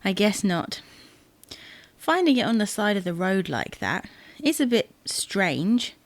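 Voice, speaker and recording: A woman playing an anxious, fussing wife who is quick to contradict in a domestic audio drama. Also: medium pitch, very animated, no room sound. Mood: chatty, curious. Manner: fretful, insistent. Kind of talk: domestic comedy-drama dialogue.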